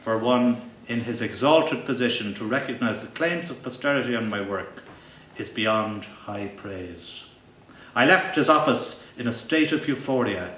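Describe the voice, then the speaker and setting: Pompous deadpan man reading in a roomy venue. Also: medium pitch. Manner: pompous, deadpan, mock-grandiose. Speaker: author reading from his novel. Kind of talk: live comic literary reading. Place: live event venue. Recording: roomy, distant live recording with faint noise.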